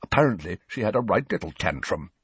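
A man's voice: sits low, big pitch swings, fast, husky, no room sound, exaggerated voice. A man reading an audiobook, voicing an earnest, proper teenage schoolboy prefect who speaks confidently. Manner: confident, conspiratorial.

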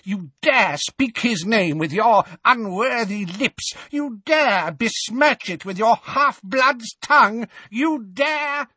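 Fanatical witch, high, shrieking and menacing; male narrator doing her voice. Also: big pitch swings, a little slow, no echo. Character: shrill fanatical female witch, cruel and unhinged. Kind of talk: audiobook character voice.

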